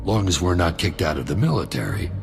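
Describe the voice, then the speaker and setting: An actor playing a cynical, greedy mercenary soldier and arms dealer in a video game, with a gravelly voice and a wry, laid-back manner. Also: male voice, animated, fairly quick, over music. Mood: pleased, confiding. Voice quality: very deep, gravelly. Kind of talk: video game cutscene dialogue.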